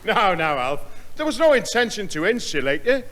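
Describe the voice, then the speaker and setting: A man's voice: sits high, very animated, normal speed. A man playing a pompous neighbour who mangles long words in a 1960s radio comedy. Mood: genial. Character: bumbling middle-aged male neighbour, muddles big words. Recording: hissy radio recording, loud studio audience.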